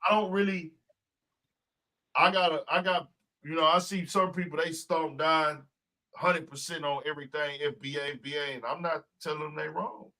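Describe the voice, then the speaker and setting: A man hosting a podcast in casual chat, talking in a profane, emphatic, animated way. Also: fairly high pitch, moderate pace, rough recording. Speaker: podcast host.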